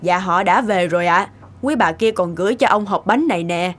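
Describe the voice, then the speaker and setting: A woman's voice: on the low side, moderate pace, slight background noise, lightly acted. A woman playing a courteous, composed lady in a cartoon. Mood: polite. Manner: polite. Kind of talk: animated cartoon dialogue. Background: music.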